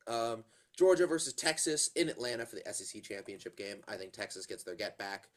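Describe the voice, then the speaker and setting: A man's voice: lowish voice, expressive, a little fast. A congested man co-hosting a casual college football podcast, rambling with plenty of fillers and restarts. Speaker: podcast co-host. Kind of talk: casual sports chat.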